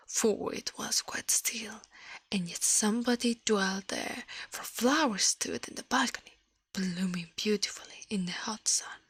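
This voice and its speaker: A woman whispers a storybook tale in ASMR style. Her voice is airy and husky, fairly high for a woman, with a gentle, slightly halting delivery. The sound is close and dry, with hardly any room in it. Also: no room sound. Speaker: ASMR livestreamer reading aloud. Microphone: close microphone, dry sound.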